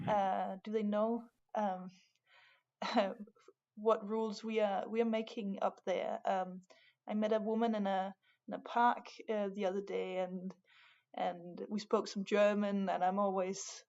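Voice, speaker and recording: Hesitant young Danish woman, gravelly. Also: medium pitch, level tone, unhurried, a little mellow, muffled recording. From Denmark. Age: young adult. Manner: hesitant, many fillers and restarts. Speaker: art student, guest. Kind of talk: casual conversation.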